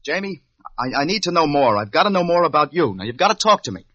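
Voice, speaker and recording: An actor playing an earnest, insistent newspaper reporter in a radio drama, urgent and coaxing. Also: male voice, fairly high pitch, sing-song, fast talker, a bit bright, dry recording. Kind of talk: 1950s radio drama acting. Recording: dull lo-fi old radio recording.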